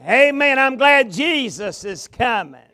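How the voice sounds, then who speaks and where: A man with a Southern US accent preaching a revival sermon in a folksy, drawn-out cadence, with some gravel in his voice, speaking into a pulpit mic in a large tabernacle. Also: extremely high pitch, lively intonation, bright, forceful tone, no echo. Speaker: pastor, guest preacher. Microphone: amplified mic at a pulpit.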